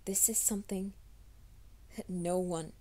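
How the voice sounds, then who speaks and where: Woman playing a young werewolf guy, whispered confession, low voice, flat slow delivery. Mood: anxious whisper. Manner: soft, hushed, often whispering. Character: troubled young man, secret werewolf, pleading. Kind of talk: fan audio drama, ASMR roleplay.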